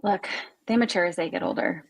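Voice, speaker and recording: A woman playing a cynical, dry teenage camp counselor with a husky voice. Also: fairly low pitch, brisk. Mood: dry annoyance. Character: cynical, dry eighteen-year-old girl camp counselor. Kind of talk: live table read of a film script.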